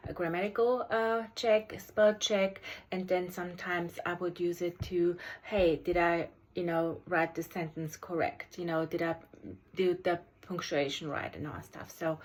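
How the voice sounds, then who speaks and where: A special education teacher with a German accent, German as her first language, giving an unscripted app review in a halting way with many ums and restarts. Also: fairly low pitch.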